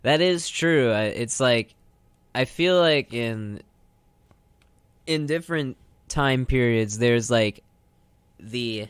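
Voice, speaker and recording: A man's voice: medium pitch, slow, long pauses, clear, resonant tone, dry recording. A young American man chatting casually as co-host of a college radio show. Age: young adult. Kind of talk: casual chat.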